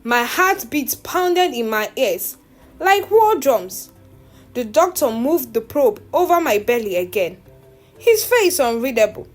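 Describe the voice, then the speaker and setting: Female storyteller, high and very animated, theatrical and suspenseful delivery over music. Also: slow pace. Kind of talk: dramatic first-person scripted narration.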